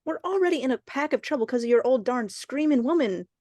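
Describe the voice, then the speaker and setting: Woman playing a reluctant high-voiced young boy. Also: animated, fast, a little mellow, no echo, studio quality. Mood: defiant, fed up. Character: reluctant, skittish young boy, slangy kid sidekick. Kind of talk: old time radio suspense acting.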